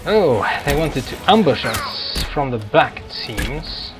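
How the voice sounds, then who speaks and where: A man giving casual, halting gameplay commentary as he hosts a gaming video. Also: mid-range voice, very animated. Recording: game music and battle noise behind. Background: video game music and combat sounds.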